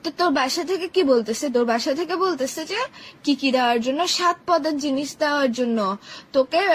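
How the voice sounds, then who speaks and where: A woman's voice: high-pitched, normal speed, a little hiss or hum. A young woman, playing a girlfriend on a comic phone call who scolds and hurls insults at her boyfriend.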